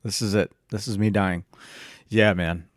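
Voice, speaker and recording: A man co-hosting a podcast in relaxed, casual chat, often calling his friend "man" and "bro". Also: on the low side, normal speed, no echo, studio quality. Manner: laid-back, slangy, says "man" and "bro". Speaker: podcast co-host.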